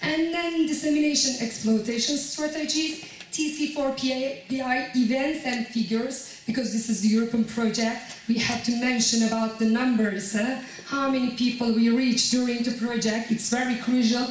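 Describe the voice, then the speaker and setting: A woman giving a conference presentation on a project, talking in a halting way as she searches for her words. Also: a little high, steady tone, slight background noise. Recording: very echoey hall, voice from far off.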